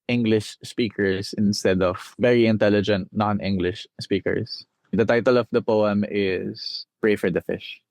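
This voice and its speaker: A young man with a Filipino accent, his voice sitting a little low. He performs spoken-word poetry with a measured rhythm and long, deliberate pauses. The sound is dry and close, with no room echo. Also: darkish tone. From Philippines. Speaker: spoken-word poet, guest. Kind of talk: reciting poems from memory.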